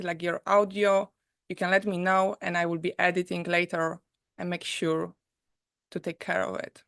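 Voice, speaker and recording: Slow, soft-spoken woman with a Polish accent. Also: on the low side, clean tone, dry recording, clean studio sound. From Poland. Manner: soft, unhurried, gently inviting. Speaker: host. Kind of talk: live online premiere introduction and guided reflection. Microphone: video call.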